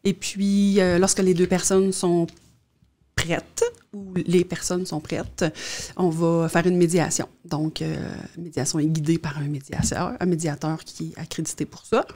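A woman's voice: fairly low pitch, little pitch movement, a little slow, warm tone. A middle-aged woman with a Quebec French accent explains things plainly as an interview guest on a close studio mic. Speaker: guest, youth justice social worker. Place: small indoor radio studio. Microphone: close studio mic on boom arm.

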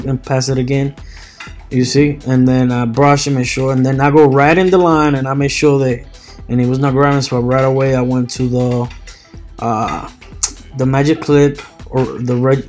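A man's voice: mid-range voice, darkish tone. A man from Puerto Rico, a native Spanish speaker with a heavy Puerto Rican accent, giving an informal barber tutorial in a hesitant, repetitive step-by-step way. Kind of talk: informal instructional voice-over.

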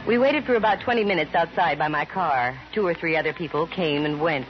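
A woman's voice: low-pitched, a little fast, soft background music, lightly acted. An actress playing a sassy, wisecracking female private eye in a 1950s radio detective drama, with a wry, slangy delivery. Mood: wry narrating. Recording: muffled, very hissy old radio recording.

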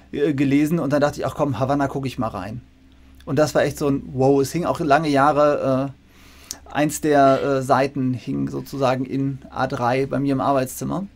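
A man's voice: mid-range voice, gravelly, a little mellow. A middle-aged man hosting a conversational interview on a video call from an indoor room. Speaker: interview host, professor. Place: book-lined room indoors. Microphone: video call, shared microphone.

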